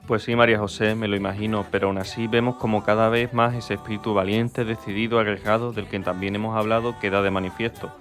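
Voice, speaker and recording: A man co-hosting a radio show, talking fast and somewhat low in a smooth presenter's delivery. Faint music runs beneath his voice. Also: flat delivery, a bit bright. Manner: brisk, scripted-sounding presentation. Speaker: radio host. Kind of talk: radio magazine chat.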